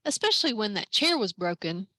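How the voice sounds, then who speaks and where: A woman's voice: medium pitch, very animated, a little fast, bright, forceful tone, no echo, studio quality. A woman reading aloud voices a matter-of-fact handyman shop owner in a picture book.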